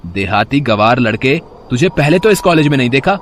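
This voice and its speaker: An arrogant rich college lad, played by a man in a moral story, haughty and sneering. He talks at a middle pitch, with faint street noise behind him. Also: big pitch swings, a little fast, natural voice. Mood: angry. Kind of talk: animated moral story dialogue.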